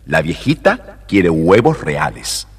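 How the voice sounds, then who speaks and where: A man's voice: low-pitched, sing-song, slow, slightly rough. A man narrating a scripted children's story as the storyteller. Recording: faintly noisy vintage story record.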